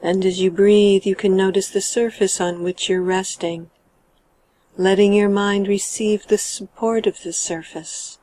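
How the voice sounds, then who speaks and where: A woman guiding a meditation in a calm, measured and gentle manner, letting her sentence endings trail off. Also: mid-range voice, poor audio. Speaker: meditation guide. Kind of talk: guided meditation narration.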